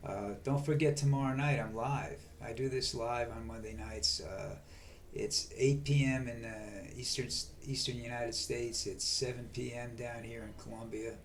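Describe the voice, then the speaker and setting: Rambling older man, monotone. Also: medium pitch, slight background noise. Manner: halting, many fillers and restarts. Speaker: vlog host. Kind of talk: rambling monologue.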